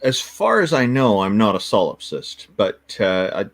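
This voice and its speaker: A man's voice: fairly low pitch, very animated, measured pace. Man co-hosting with dry quips. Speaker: co-host. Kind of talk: casual livestream chat.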